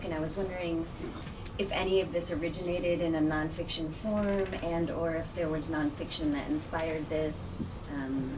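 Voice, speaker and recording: Low-pitched woman, far from the mic. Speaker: audience member asking a question. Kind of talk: casual spoken question. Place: indoor bookstore event. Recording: distant mic, clear background noise.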